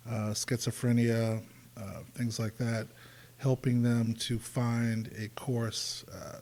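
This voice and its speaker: A man in his sixties, a clinical mental health counselor, explaining in a measured way on a discussion panel. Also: fairly low pitch, darkish tone. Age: 60s.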